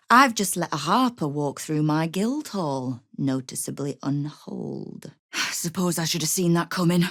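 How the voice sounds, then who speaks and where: Game role: hard-bitten female guild boss. Low voice, sardonic and in command, very dry studio sound. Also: no room sound. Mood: wry. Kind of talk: video game character dialogue.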